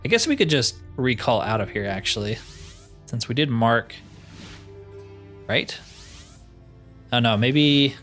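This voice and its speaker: A man's voice: medium pitch, expressive, takes it slowly, pauses often, fairly bright tone, faint music behind. A man giving casual, easygoing game commentary in a chatty, slangy way. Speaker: gaming host playing a roleplay playthrough.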